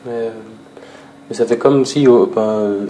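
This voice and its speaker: A man's voice: medium pitch, fairly even intonation, unhurried, mellow, slight background noise, poor audio. A man talking casually and reflectively, hesitant as he searches for words.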